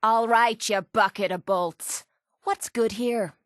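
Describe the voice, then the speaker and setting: A woman's voice: a little high, steady tone, slow pace, no echo, studio quality. An actress playing a brash, sarcastic, hard-drinking young woman brawler in a video game, with an Irish accent and a blunt, mocking way of talking. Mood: dry, thirsty. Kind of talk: video game companion voice lines.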